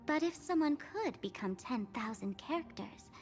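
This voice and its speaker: A woman's voice: sits high, lively intonation, moderate pace, soft background music. A woman voicing a mischievous, self-assured young female trickster in a game, coy and mocking in a theatrical way. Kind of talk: video game voice acting.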